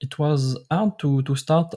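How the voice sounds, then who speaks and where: A man, a native French speaker, hosting a podcast and asking questions in careful, slightly halting English. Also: fairly high pitch, mellow. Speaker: podcast host. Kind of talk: interview questions.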